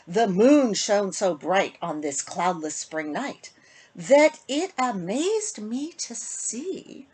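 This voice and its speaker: A woman children's author with a slightly husky voice, reading a storybook aloud to young listeners and chatting to them in an animated way. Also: high voice. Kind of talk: casual intro and expressive storybook reading to children.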